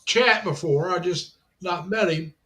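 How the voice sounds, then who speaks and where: A chatty man runs his own livestream, welcoming guests and passing along viewer comments. His voice sits fairly high for a man, and he talks in loose, easygoing bursts. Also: unhurried, bright, forceful tone. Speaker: livestream host. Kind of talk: casual group chat on a livestream.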